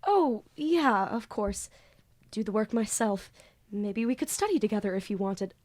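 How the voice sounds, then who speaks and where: A woman's voice: mid-range voice, lively intonation, a little slow, darkish tone, roomy sound, close to an everyday voice. A woman playing a flustered, lovestruck, bookish student in an audio drama, with grandiose theatrical diction. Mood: flustered, nervous.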